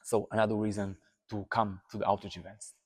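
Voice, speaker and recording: A man's voice: fairly low pitch, level tone, fairly quick, a little mellow, long reverb tail. A man in his thirties with an Israeli accent, a native Hebrew speaker, appealing earnestly to viewers as an activist, restarting words and using many fillers. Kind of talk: talking to camera, appeal to viewers. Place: indoors, seated in a room. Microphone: lapel mic.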